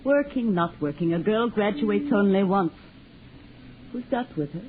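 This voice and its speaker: A woman's voice: mid-range voice, lively intonation, measured pace, natural voice. An actress voicing a fretful, thrifty old mother who grumbles, in a hissy, tinny 1940s radio drama. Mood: grumbling. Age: older. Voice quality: grumbling, fussing over money.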